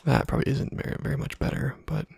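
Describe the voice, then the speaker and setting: A man giving casual, playful ASMR game commentary in a hushed, breathy half-whisper, muttering to himself close to the microphone. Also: quick pace. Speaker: gaming video host.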